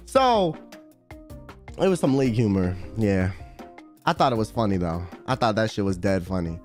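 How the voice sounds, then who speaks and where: A young man chatting casually on a late-night stream, full of slang and loose tangents. His voice sits a little high for a man and swings widely in pitch. Faint background music plays under him. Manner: slangy, rambling, reads chat aloud.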